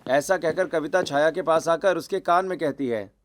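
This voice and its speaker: A man's voice: on the high side, normal speed. A man narrating a scripted cartoon family story.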